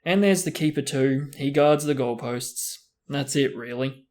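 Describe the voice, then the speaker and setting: A man voicing a tired schoolboy hero, flat and resigned as he explains things. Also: mid-range voice, a little slow, close to an everyday voice.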